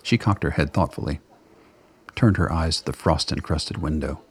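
A man narrates a scripted radio drama in an extremely deep voice. It is gravelly and husky, with measured, dramatic storytelling.

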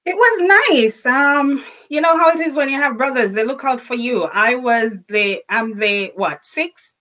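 A woman's voice: sits high, unhurried, sharp tone, poor audio. A woman from rural Jamaica with a Jamaican accent, chatting casually and cheerfully as an interview guest. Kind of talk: casual chat.

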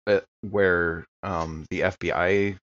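A man with a fairly low voice role-plays in a tabletop game podcast. He sounds earnest and deliberate. The recording is dry and a little dull. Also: steady tone, a bit bright, no room sound. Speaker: player in a role-playing podcast. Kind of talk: improvised in-character chat at a game.

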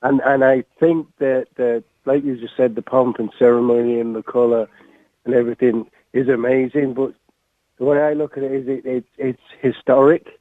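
A man's voice: mid-range voice, flat delivery, moderate pace, dry recording. An English man in his sixties calling in to a radio show, hesitant and often repeating words. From England. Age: 60s. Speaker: caller. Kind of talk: call-in radio talk. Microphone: phone. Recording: phone line.

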